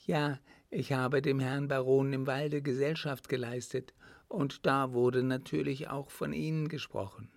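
A man's voice: medium pitch, level tone, unhurried, gravelly, no room sound, pitched above the performer's own voice. A man voicing a bold, cool-headed young woman in an audiobook, composed and defiant. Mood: calm, open. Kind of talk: audiobook character voice.

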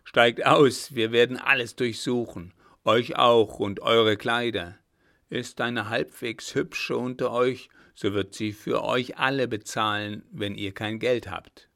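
A man voicing a rough, leering male highway robber who shouts and jeers. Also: animated, takes it slowly, exaggerated voice. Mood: jeering. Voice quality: rough loud voice. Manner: shouting, jeering.

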